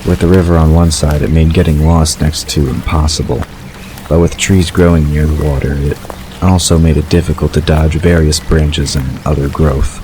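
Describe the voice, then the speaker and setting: A man narrating a scripted story in a measured storytelling delivery. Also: rumbling low, little pitch movement. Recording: clear background ambience noise.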